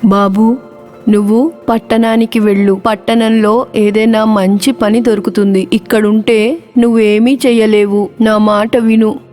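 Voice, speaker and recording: A caring, anxious older mother from a village, voiced by a woman narrator in a children's cartoon. She speaks in a coaxing way with a fairly high voice. Light music runs underneath. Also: level tone, a little slow, dark tone, natural voice. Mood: coaxing, reassuring. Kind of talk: animated children's moral story dialogue.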